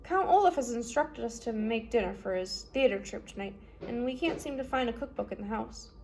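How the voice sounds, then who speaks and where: A woman's voice: on the high side, expressive, brisk, gravelly, darkish tone, a little hiss or hum. A woman voicing a studious, bookish orphan boy in a storybook, polite and earnest. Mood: hesitant, polite. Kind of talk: storybook character voice.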